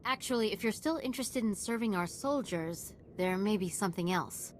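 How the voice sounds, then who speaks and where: A woman's voice: medium pitch, moderate pace, a little hiss or hum, close to an everyday voice. An actress playing a stern, principled woman at a military outpost in a video game, speaking earnestly and formally. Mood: earnest, concerned.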